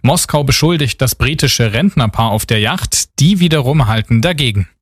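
A man reads the news on regional radio, measured and formal, his voice mid-pitched. The studio sound is dry and close. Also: expressive, slightly rough, no echo. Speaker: news anchor. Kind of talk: read news bulletin.

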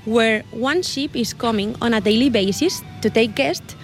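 A woman with a Spanish accent talks through her hotel in English that she builds piece by piece, often stopping to restart. Her voice is somewhat high. Faint music plays behind her. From Spain. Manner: halting non-native English with frequent restarts. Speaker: hotel staff member. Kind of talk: interview with interpreter.

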